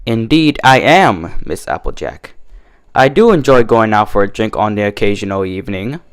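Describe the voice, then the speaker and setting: A courteous, exacting stallion from a cartoon story performed by a man. He speaks formally and primly, with lively rises and falls in pitch. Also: normal speed, smooth. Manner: formal, prim. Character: polite, fussy gentleman stallion pony, precise customer. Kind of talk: cartoon fan-fiction character dub.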